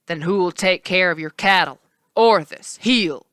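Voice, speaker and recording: A woman voicing a weary, gruff male cowherd who grumbles slowly and flatly. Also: lowish voice, dry recording, studio quality. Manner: flat grumbling, slow.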